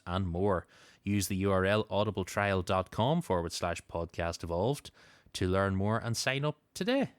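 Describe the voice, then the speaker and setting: A man's voice: fairly low pitch, a bit bright, smooth, no room sound, studio quality. A man hosting a podcast, moving between show announcements and casual chat. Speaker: podcast host.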